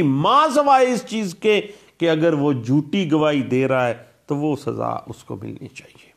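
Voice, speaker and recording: A man giving a law lecture, explaining a statute slowly and deliberately and repeating key points with English legal terms mixed in. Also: on the high side.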